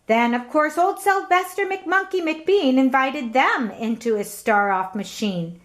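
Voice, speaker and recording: Slow, animated woman reading a storybook. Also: high voice. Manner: dramatic, emphatic storytelling. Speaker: storybook reader. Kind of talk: expressive children's read-aloud narration.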